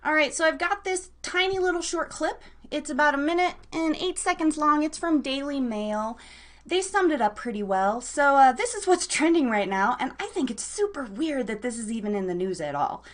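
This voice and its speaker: High-voiced American woman hosting casually. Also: animated, moderate pace. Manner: bubbly, playful word coinages. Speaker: radio show host. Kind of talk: casual live podcast hosting.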